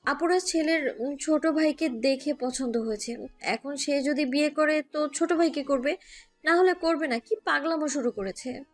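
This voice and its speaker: High voiced young woman, acted by a lady, earnest n pleading, audio drama w music under it. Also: moderate pace, lightly acted. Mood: exasperated. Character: pleading, exasperated young woman, bride's sister. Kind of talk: audio story acting.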